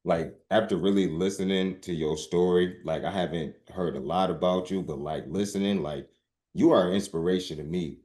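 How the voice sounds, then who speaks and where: A man's voice: deep voice, steady tone, moderate pace, a little mellow. A man co-hosting a podcast, speaking casually and filling his speech with "like". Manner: casual, heavy with filler "like". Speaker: podcast co-host. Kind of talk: casual conversation. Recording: roomy echo.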